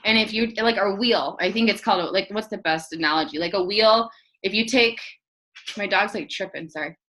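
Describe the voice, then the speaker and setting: Bright, chatty woman giving a casual training talk. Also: mid-range voice, brisk. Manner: chatty, filler-heavy, rambling; earnest and encouraging. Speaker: team trainer.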